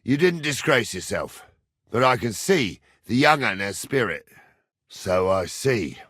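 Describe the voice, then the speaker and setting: A gruff old soldier from a medieval video game, with a very lively, swooping pitch. He talks in a rustic, salty way and orders youngsters about with crotchety impatience. The recording is dry, with no room sound. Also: measured pace, no room sound, exaggerated voice. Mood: gruff, folksy. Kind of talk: video game character dialogue.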